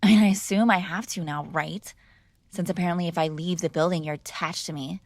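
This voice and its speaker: A woman's voice: lowish voice, steady tone, fairly quick, no echo. A woman playing a mob boss's spoiled, bratty young adult daughter in an intimate roleplay, speaking casually with sarcastic, exasperated argument. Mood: evasive, playful. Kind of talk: intimate ASMR roleplay acting, one-sided conversation.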